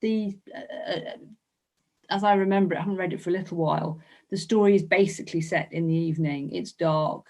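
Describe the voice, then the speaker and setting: A woman's voice: lowish voice, fairly quick, dark tone. A woman being interviewed as an illustrator, talking casually about her work and thinking aloud with hesitant, repeated phrases. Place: online video call.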